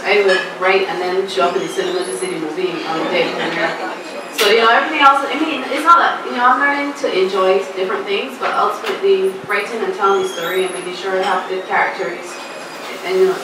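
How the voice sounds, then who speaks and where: Barbadian woman speaking in an echoey hall. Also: mid-range voice. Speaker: screenwriter and filmmaker on a panel. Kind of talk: panel discussion answers. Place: festival panel room. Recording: echoey room, far from mic, background noise.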